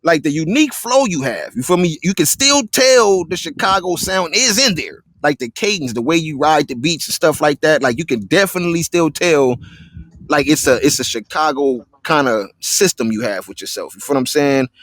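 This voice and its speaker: A man's voice: fairly high pitch, lively intonation, moderate pace, a bit bright, no echo. A man hosting a casual live interview, talking laid-back in street slang and often asking "you feel me". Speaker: interview host.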